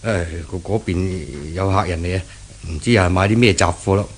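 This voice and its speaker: A man's voice: deep voice, moderate pace, a little hiss or hum. An actor playing a cautious, nagging older shopkeeper father who speaks hesitantly in a 1970s Cantonese radio drama, with a Hong Kong accent. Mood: resigned, worried.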